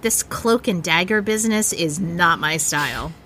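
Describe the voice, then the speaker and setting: A woman's voice: lowish voice, normal speed, bright, forceful tone, slight background noise. A woman playing a brash, sarcastic young punk rocker in an audio drama, blunt and punchy. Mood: softer, wry.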